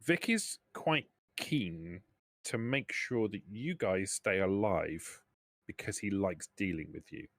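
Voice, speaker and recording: A man acting as game master, narrating and bargaining in a halting way that repeats words. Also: sits low, animated, fairly bright tone, dry recording, studio quality. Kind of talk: improvised tabletop game narration and negotiation in a trader's role.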